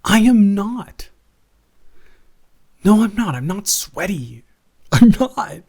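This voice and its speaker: A man playing a teasing, affectionate young roommate who gets easily flustered, speaking haltingly and conversationally in an intimate improvised audio roleplay. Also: high voice, very animated, a little mellow, full character voice. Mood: flustered, defensive. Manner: halting, conversational, self-correcting.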